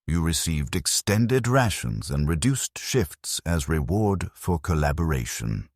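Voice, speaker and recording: A man voicing a very deep, merciless inquisitor priest. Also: very animated, a little slow, darkish tone, dry recording, clean studio sound, exaggerated voice. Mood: stern, condemning. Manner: stern, pronouncing, formal zealot. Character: cold accusing tech priest interrogator in bronze mask.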